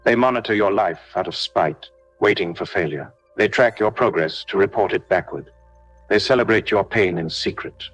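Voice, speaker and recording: A man with a very low voice delivers a scripted prayer over music. He speaks in short, solemn declarations, each phrase measured and set apart, as a prayer leader addressing one listener. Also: slightly rough, rough recording. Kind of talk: scripted devotional warfare prayer.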